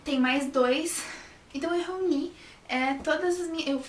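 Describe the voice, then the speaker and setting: A woman's voice: high-pitched, a little slow, slight background noise. A young woman, a journalism student, chatting casually to camera and often restarting her sentences. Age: young adult. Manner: chatty, restarts often, says "sabe?" a lot. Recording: roomy echo, mic a bit far off.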